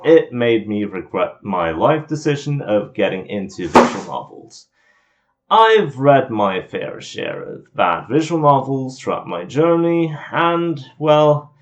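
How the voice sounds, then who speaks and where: A man giving an informal, scripted game review voice-over in an opinionated, grumbling, self-deprecating way. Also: medium pitch. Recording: muffled lo-fi home voice recording.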